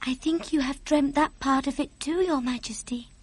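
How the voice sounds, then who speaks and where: A woman's voice: extremely high pitch, moderate pace, no room sound, voice pushed up. A woman voicing a small girl in a storybook, with a polite, earnest, childlike manner. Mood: calm, polite.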